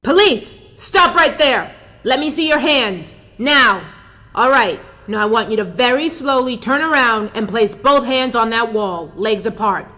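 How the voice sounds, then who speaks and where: Bright, firm woman playing a rookie policewoman. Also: a little high, animated, measured pace, faint music behind. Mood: commanding, shouting. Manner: authoritative, commanding. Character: firm young female rookie police officer on patrol. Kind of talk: dramatized history audio reenactment.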